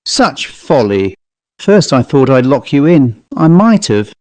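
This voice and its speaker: A man's voice: mid-range voice, very animated, slow, a little mellow, no echo. A man voicing a self-possessed Cockney manservant with a controlled, wistfully reproachful manner in an audiobook story. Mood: composed.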